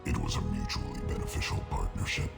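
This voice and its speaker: An actor playing a huge, cold, arrogant alien crime lord in a video game, with a gravelly growl and formal, menacing delivery. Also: male voice, close to an everyday voice. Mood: arrogant menace. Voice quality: deep monstrous gravelly growl. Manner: formal, pompous, measured menace. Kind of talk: video game cutscene acting. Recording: music behind the voice.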